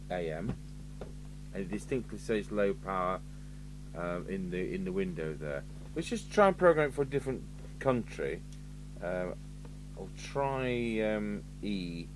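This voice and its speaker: A man's voice: on the low side, unhurried, pauses often. A man from the UK thinking aloud in a matter-of-fact way as he gives a hands-on workbench demonstration. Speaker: radio repair vlogger. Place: indoor radio repair bench. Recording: noisy, with steady hum.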